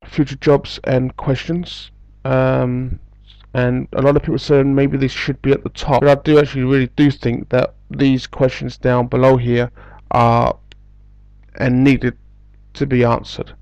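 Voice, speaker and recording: A man from England with an English accent talks through advice in a loose, chatty way, stopping and restarting his sentences. His voice has a gravelly edge. The recording is dry and slightly dull. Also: medium pitch, little pitch movement, unhurried, a little mellow, no room sound. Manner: chatty, rambling, many fillers and restarts. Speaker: English school recruiter. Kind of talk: casual advice video.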